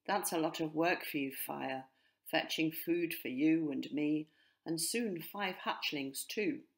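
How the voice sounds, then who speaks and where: A woman voicing a gentle female jackdaw, a wife and mother, in a children's storybook, with hushed asides. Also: low-pitched, slow. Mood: fond, contented.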